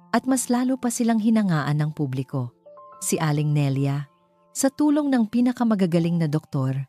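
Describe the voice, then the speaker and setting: Female story narrator, Filipino accent, mellow low register, reading scripted romance fiction.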